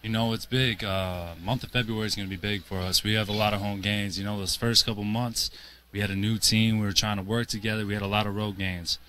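A man in his twenties answering post-game interview questions as a basketball player, in a measured, earnest way. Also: fairly low pitch, fairly even intonation, sharp tone. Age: 20s. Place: indoor basketball arena, courtside. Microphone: handheld interview microphone held to him. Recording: clear arena background noise.

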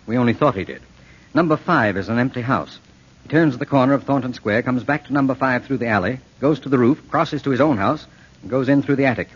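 An actor playing a calm, commanding detective with a husky voice and assured delivery in a 1940s radio drama. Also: male voice, mid-range voice, fast talker, lightly acted. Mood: brisk, assured. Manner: assured, insistent. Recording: dull old radio recording.

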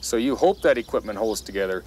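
A man's voice: on the low side, lively intonation, fast talker, a little mellow, a little hiss or hum, rough recording. A man in his thirties with an American accent, a farmer speaking earnestly and emphatically in an interview, stressing by repetition. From Kansas. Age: 30s. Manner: emphatic, builds lists and repeats for stress. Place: outdoors beside farm machinery.

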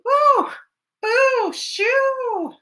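A woman's voice: extremely high pitch, extremely slow, sharp tone, pitched above the performer's own voice, full character voice. A woman voicing a flustered small child in a picture-book read-aloud, exclaiming and grudgingly giving in. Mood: startled, alarmed. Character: flustered small child shooing animals off the porch.

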